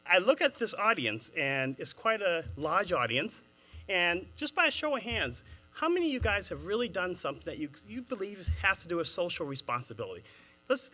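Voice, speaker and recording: Lively Boston-accented moderator, high voice. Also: male voice. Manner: chatty, animated, laughs at his own jokes. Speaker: panel moderator. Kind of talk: engaging address to a live audience.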